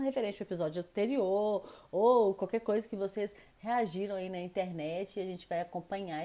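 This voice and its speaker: Chatty, upbeat woman with a mellow voice. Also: medium pitch. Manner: enthusiastic, chatty, self-deprecating. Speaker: podcast co-host. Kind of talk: casual podcast chat. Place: home in France.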